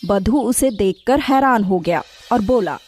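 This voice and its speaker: A woman's voice: medium pitch, lively intonation, measured pace, darkish tone, soft background music. A woman narrator reading a scripted moral story for children.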